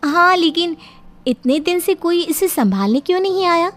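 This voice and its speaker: A young cartoon wife played by a woman with an extremely high voice. She sounds anxious and pleading, always sensing something eerie, with faint music behind her. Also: expressive, moderate pace, a bit bright. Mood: uneasy. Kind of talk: Hindi horror cartoon dialogue.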